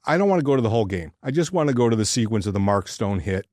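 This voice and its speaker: An American man co-hosts a sports podcast in a lowish voice. He talks casually but bluntly, ranting with plenty of profanity and strong opinions. The sound is dry, with very little room to it. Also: big pitch swings, very fast, darkish tone, no room sound. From Detroit, United States.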